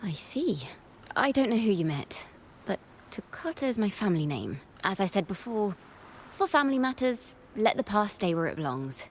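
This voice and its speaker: A woman's voice: medium pitch, very animated, normal speed, a little hiss or hum. A woman playing a composed, guarded young female companion in a video game. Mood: reserved. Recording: game music behind.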